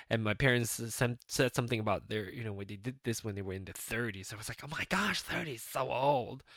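A man's voice: mid-range voice, fairly bright tone, dry recording. A man in his late thirties hosting a casual solo podcast, rambling and chatty and laughing often as he talks. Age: 30s. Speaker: podcast host.

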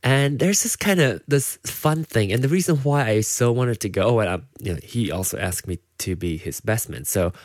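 A man's voice: mid-range voice, lively intonation, moderate pace, hardly any pauses, dry recording, clean studio sound. A man from Malaysia with a Malaysian English accent, partly Americanized, hosting his own show in a casual, rambling solo chat full of fillers and restarts. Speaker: podcast host.

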